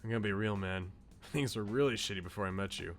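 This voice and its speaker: A man's voice: on the low side, fast talker, faint music behind, lightly acted. A man voicing a brash, insecure but loyal eighteen-year-old slacker in a visual novel, with blunt, casual slang. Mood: wistful, frank. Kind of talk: visual novel character read aloud.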